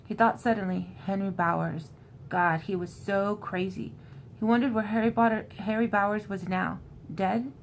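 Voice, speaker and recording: A woman's voice: mid-range voice, steady tone, normal speed, creaky, a little hiss or hum, poor audio. A woman in an informal, conversational style, recapping a book and reading passages from it aloud. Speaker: host recapping a horror novel.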